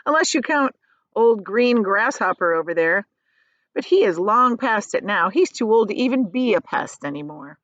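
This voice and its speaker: A woman's voice: medium pitch, animated, normal speed, a bit bright, dry recording, lo-fi sound, close to an everyday voice. A woman voicing a boastful, rascally male centipede in a children's storybook, bragging and teasing. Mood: mocking.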